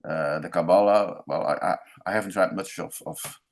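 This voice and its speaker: Belgian man on a panel, deep voice, thinks aloud haltingly. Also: gravelly. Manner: halting, repeats words while searching. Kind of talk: casual roundtable discussion.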